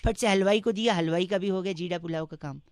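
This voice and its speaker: A man's voice: sits high, fast, dry recording, close to an everyday voice. A man voicing a cheeky, pestering young prankster, teasing people on a radio prank call with mock politeness. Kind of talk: comic prank call banter with riddles.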